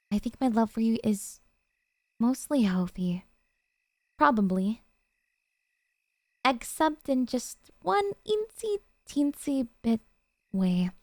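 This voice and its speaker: A woman's voice: a little high, a little mellow, no room sound, studio quality. A woman playing an obsessive, possessive yandere girlfriend in an intimate ASMR roleplay, talking in a coy, cutesy, hesitant way to her sweetheart. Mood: sweet pleading.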